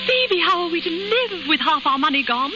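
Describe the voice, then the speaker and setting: An actress playing an anxious, fluttery spinster who stammers and exclaims, in 1930s radio drama style. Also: female voice, very high-pitched, big pitch swings, normal speed, over loud music. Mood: distressed. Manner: flustered, stammering, breathless exclamations. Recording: dull, scratchy 1930s radio recording.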